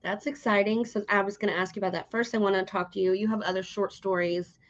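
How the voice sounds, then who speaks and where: A woman's voice: medium pitch, fairly even intonation, quick pace. A woman hosting a casual interview in an informal, encouraging way. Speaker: interviewer, host. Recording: slightly dull, lo-fi sound.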